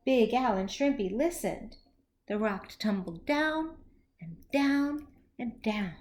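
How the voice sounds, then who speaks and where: A woman reading a children's story aloud as a teacher would, expressively and with deliberate phrasing for young listeners. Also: fairly high pitch. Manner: animated, slow, deliberate, with questions to young listeners.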